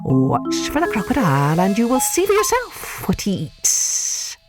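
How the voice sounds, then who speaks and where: A woman's voice: mid-range voice, wildly swooping pitch, a little slow, over loud music. A woman voicing an irritable male python rock snake in a storybook reading. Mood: urgent. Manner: irritated, lecturing.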